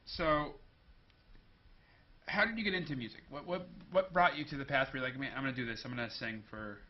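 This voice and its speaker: A man's voice: medium pitch, a little fast, dull audio, a little hiss or hum. A young man hosting a casual interview show, rambling loosely and joking between questions. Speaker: host.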